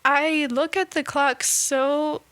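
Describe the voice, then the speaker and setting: Young woman, 20s, vlogger; unhurried, stop-start delivery, dry close sound. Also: high-pitched, flat delivery, fairly bright tone, no echo. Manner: halting, searching for words with many restarts. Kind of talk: casual reflective monologue.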